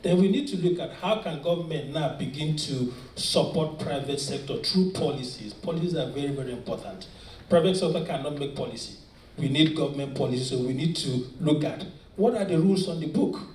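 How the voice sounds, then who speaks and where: A man's voice: on the high side, a little fast, darkish tone. A Nigerian man speaks earnestly on a conference panel, often restarting his sentences. He is recorded from a distance in a reverberant hall. A faint hum of the room sits underneath. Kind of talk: panel discussion. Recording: echoey conference hall from far off. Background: faint ambience.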